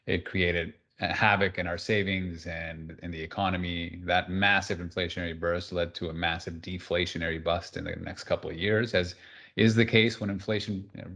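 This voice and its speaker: Deep-voiced guy from peru, joking and emphatic on a call. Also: fairly bright tone. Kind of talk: interview guest on a video call.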